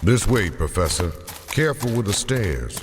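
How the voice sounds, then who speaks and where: An actor playing a formal, principled lieutenant colonel who speaks earnestly. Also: male voice, on the low side, very animated, normal speed, in a large hall.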